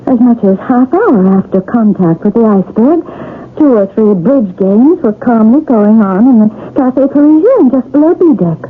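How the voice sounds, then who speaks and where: An actress playing a troubled wife in a 1970s radio mystery, with precise, theatrical, trancelike storytelling. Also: female voice, on the high side, lively intonation, moderate pace, phone-quality sound, lightly acted. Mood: calmly insistent. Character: troubled wife, trancelike as she recounts a shipwreck. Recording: thin, band-limited old radio recording, noisy.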